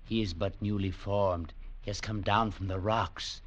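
An actor playing a wondering, suspicious blind villager in 1940s radio drama, speaking in a ponderous, incantatory way. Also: male voice, fairly low pitch, fairly even intonation, unhurried. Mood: solemn. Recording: faintly noisy old radio recording.

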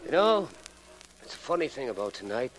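A man's voice: mid-range voice, very animated, brisk, natural voice, low-quality recording. A man playing a chatty, eager apartment doorman with a folksy, stammering delivery in 1940s radio acting. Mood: chatty, friendly. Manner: folksy, stammering, repeats himself. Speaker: actor in a radio drama. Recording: old radio recording, music and street bustle.

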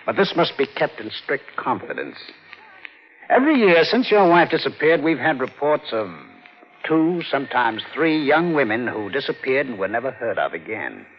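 An actor playing a grave, official but kindly police chief in an old-time radio drama. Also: male voice, medium pitch, big pitch swings, a little hiss or hum, lightly acted. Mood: grave, confiding. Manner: official, deliberate, confiding. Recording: dull, muffled old radio recording.

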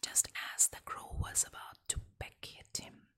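A woman softly reading folk tales and fables aloud in a gentle whisper. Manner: soft, slow, gentle whispering. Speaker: storyteller. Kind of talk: whispered ASMR story reading.

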